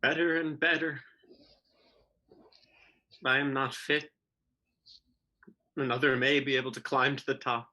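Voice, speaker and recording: A man playing a bitter, despairing schoolboy, delivering a brooding, deliberate monologue in a stage-play reading. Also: medium pitch, level tone, sharp tone, poor audio. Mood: bitter, resigned.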